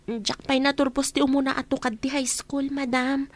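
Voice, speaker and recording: A woman's voice: sits high, level tone, moderate pace, close to an everyday voice. A woman playing a meek, plaintive young orphaned housemaid in an Ilocano radio drama. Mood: meek, sad. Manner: plaintive, deferential.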